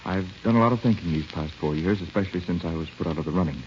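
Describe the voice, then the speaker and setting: An actor playing a bitter, resigned young war-wounded captain in an old radio drama, clipped and restrained. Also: male voice, sits low, rapid-fire, natural voice. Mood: controlled, insistent. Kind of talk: 1930s-40s radio drama acting. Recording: dull, hissy old radio recording.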